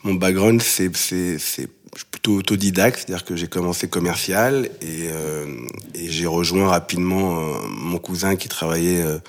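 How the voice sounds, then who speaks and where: A man's voice: deep voice, steady tone, normal speed. A Frenchman in his fifties from the Paris suburbs, a fashion executive answering interview questions with many fillers and restarts. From Paris suburbs, France. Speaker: fashion company managing director, guest.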